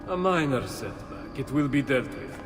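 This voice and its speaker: A man's voice: medium pitch, expressive, moderate pace, over music, natural voice. A man playing a proud, haughty villainous nobleman in a video game. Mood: dismissive. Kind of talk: video game cutscene acting.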